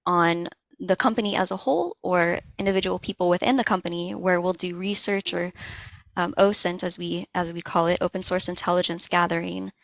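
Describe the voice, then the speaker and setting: Female interview guest, slightly low voice, explains things methodically and conversationally, close dry sound. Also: fairly even intonation, brisk, vocal fry, no room sound, lo-fi sound. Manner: explains step by step with examples. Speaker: interview guest, social engineering analyst.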